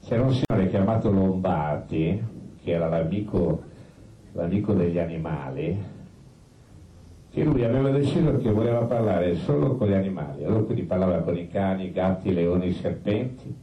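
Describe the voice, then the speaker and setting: A man telling stories in a live theatrical monologue, conversational and colloquial, with halting repetitions. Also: low-pitched, expressive, muffled recording. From Italy. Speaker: stage storyteller. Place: live performance space with musicians. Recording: roomy live stage pickup, distant, faint noise.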